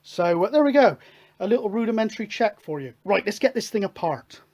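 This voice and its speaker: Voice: mid-range voice, animated, a little fast, background noise. Chatty hobbyist thinking aloud. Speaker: hobbyist radio show host. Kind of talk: informal hands-on technical demonstration.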